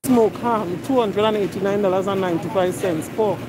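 A woman's voice: mid-range voice, fairly quick. Lady vlogging her shop, phone mic, store noise all around. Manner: chatty, talks to viewers while browsing, reads prices aloud. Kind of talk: casual shop-with-me chat. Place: large warehouse store, indoors. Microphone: phone camera held while pushing a cart.

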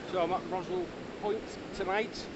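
A man with a slightly high voice chats calmly into a handheld camera on a seashore, unhurried and matter-of-fact as he runs through his gear. Loud wind and crashing surf roar around him outdoors. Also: highs cut off. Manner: laid-back, matter-of-fact, lists tackle in detail with small hesitations. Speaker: angler filming his fishing trip. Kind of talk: casual running commentary to camera. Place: outdoors on a windy seashore at dusk.

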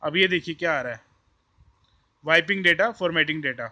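A man with an Indian accent hosts an informal tech tutorial. His voice sits a little high and is very bright and forceful. He explains each step in turn, often repeating himself. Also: a little fast. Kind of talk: informal hands-on tutorial, mixing Hindi and English.

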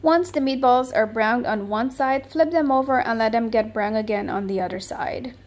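Woman, cooking show host, fairly high voice, friendly instructive voice-over. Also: normal speed.

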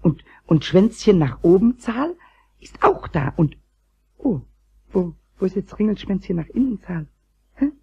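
An actor playing a mischievous childlike kobold in a children's radio play, raspy and croaky, stammering and repeating words when excited. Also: male voice, high voice, a little slow, exaggerated voice. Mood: busy, pleased. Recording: dull old cassette recording.

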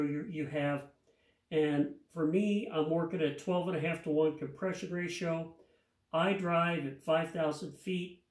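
Older male home mechanic, slightly high voice, plainspoken hands-on tutorial, roomy garage sound. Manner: plainspoken, practical, repeats words while working. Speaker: home mechanic explaining an engine rebuild. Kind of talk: hands-on how-to explanation. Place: home garage workshop, indoors. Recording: echoey garage.

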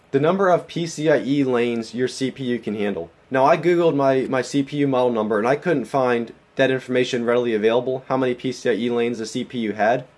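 A man's voice: mid-range voice, darkish tone. A man with a bit of a cold, casually walking through his home computer build in a matter-of-fact way, filming with a phone. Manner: plain, matter-of-fact, with fillers like uh and um. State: getting a cold. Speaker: tech hobbyist vlogger. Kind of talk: casual show-and-tell walkthrough. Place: home room with a mining rig.

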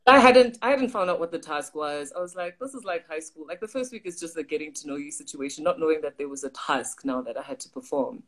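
A woman's voice: deep voice, fast talker, few pauses. A South African woman in her thirties, co-hosting a livestream and telling a personal story in casual chat over a video call from a study at home. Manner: animated, gesturing, voices quoted people. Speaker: co-host. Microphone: video call on phone or laptop.

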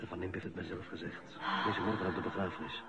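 An actor playing a resentful, stubborn office inspector in a radio play. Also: male voice, deep voice, steady tone, quick pace, gravelly, heavy background noise. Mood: bitter. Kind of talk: radio play acting, conversational. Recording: dull vintage radio play recording.

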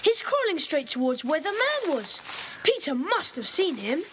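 Excited young boy, very high and lively, muttering to himself. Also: normal speed, slight background noise.

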